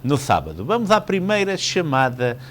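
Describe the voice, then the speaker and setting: Courteous Portuguese talk host, noisy backdrop. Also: male voice, fairly high pitch, huge pitch swings, normal speed, fairly bright tone. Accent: European Portuguese. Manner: courteous, chatty, sprinkles in English words. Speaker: call-in show host. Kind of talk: live phone-in talk show hosting.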